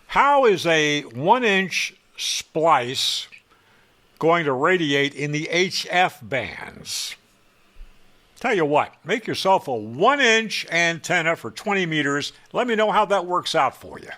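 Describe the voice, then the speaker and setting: A man's voice: sits high, sharp tone. A man presenting a hobbyist how-to, speaking in an opinionated, emphatic way with wry asides. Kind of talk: how-to narration with sarcastic asides.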